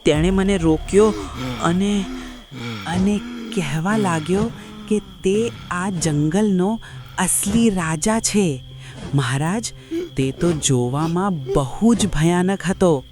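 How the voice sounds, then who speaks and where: A man's voice: high-pitched, takes it slowly, over music, voice pushed up. A man voicing a small clever jackal, sly and falsely humble, in a children's cartoon story. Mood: pleading, feigned fear.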